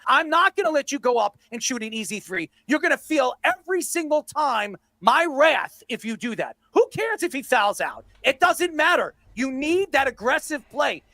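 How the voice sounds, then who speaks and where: A man's voice: very high-pitched, sing-song, normal speed, hardly any pauses, bright, forceful tone, dry recording. A man on a sports talk panel ranting about basketball, blunt and emphatic, hammering his points by repeating them. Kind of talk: unscripted basketball rant.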